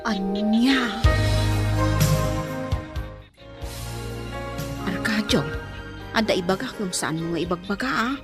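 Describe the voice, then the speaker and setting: A woman's voice: mid-range voice, normal speed, over loud music, lightly acted. A woman with an Ilocano accent acting a meddling, motherly household helper in a radio drama. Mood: confiding.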